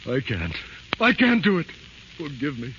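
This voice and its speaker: An actor playing a grim but soft-hearted huntsman in melodramatic 1930s radio style. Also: medium pitch, wildly swooping pitch, a little slow, very noisy. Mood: desperate urgent. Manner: melodramatic, urgent. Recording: muffled 1930s radio broadcast, loud music.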